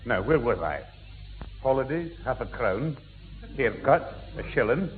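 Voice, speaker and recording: A man's voice: medium pitch, expressive, a little slow, narrow, tinny audio, faint music behind, natural voice. A man playing an irritable old pensioner grandfather, peevish and formal, in a 1960s radio sitcom. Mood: muttering. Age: older. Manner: peevish, muttering, sarcastically formal.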